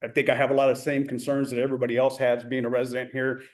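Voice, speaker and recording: A mid-pitched man introduces himself at a public meeting in an earnest, halting way. He pauses often as he moves through his background. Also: little pitch movement, a little mellow. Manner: earnest, with frequent "uh" fillers. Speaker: HOA board candidate. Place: community meeting room.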